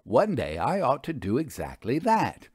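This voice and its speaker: A man's voice: medium pitch, wildly swooping pitch, unhurried, a little mellow, breathy edge, dry recording, clean studio sound, full character voice. A man voicing a spirited, opinionated older woman who investigates ghosts, speaking with theatrical emphasis in a story reading. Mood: musing. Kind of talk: audiobook character dialogue.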